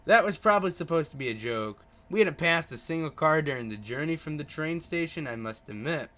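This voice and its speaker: A man's voice: fairly high pitch, expressive, fairly quick, sharp tone. A man reading a visual novel aloud as a gaming video host, stumbling over words and adding his own asides. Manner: stumbles over written words, rereads lines.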